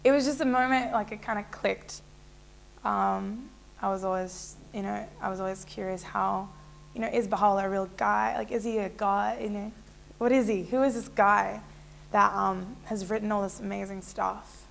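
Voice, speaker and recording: A woman's voice: medium pitch, fairly even intonation, normal speed, creaky. A young woman in a small indoor room, telling her personal story to a fixed camera in a casual, rambling way with many fillers. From Israel. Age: young adult. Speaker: interviewee.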